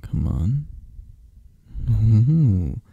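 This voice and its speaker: A man playing a possessive, flirtatious, intimidating mafia boss in an ASMR roleplay, husky and murmuring, with drawn-out teasing pauses. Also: fairly low pitch, very animated, no echo, phone-quality sound, exaggerated voice. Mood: intrigued, flirtatious. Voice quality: husky, gravelly, at times breathy.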